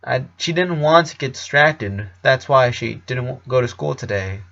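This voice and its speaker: A man's voice: mid-range voice, lively intonation, moderate pace, vocal fry, fairly bright tone, muffled recording. A man voicing a polite, uneasy eleven-year-old boy in a story reading, hesitant and stammering. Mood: apologetic.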